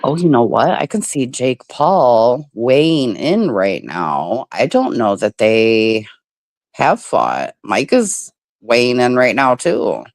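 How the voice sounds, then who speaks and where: A woman's voice: rumbling low, big pitch swings, slow, darkish tone, dry recording, clean studio sound. A woman from the Midwest of the United States hosting a casual livestream chat, talking loosely, swearing freely and laughing often. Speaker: livestream host.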